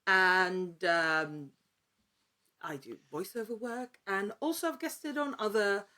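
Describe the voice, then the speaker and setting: A woman in her thirties talking casually as an interview guest, with a halting, informal, self-aware manner. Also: mid-range voice, pushed and bright. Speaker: podcast guest, actor and writer.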